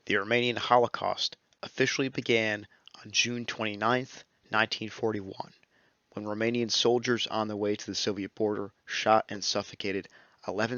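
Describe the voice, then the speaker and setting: A young man narrating a scripted history lesson in a steady, measured reading voice, as a close voice-over. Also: fairly low pitch, no echo. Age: young adult. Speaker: student narrator. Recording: dull lo-fi dry recording.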